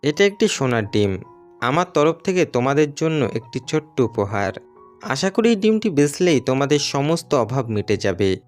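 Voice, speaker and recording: A man voicing a gentle, grateful injured myna bird in a cartoon story, speaking politely and pleadingly. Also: mid-range voice, big pitch swings, moderate pace, natural voice. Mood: earnest, thankful. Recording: music behind the voice.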